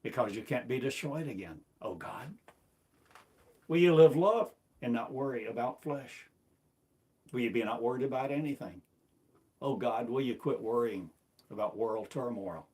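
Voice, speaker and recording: A man's voice: mid-range voice, moderate pace, long pauses, a little mellow. A man delivering a rambling, self-recorded sermon, asking one repetitive, incantatory rhetorical question after another. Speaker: self-styled preacher.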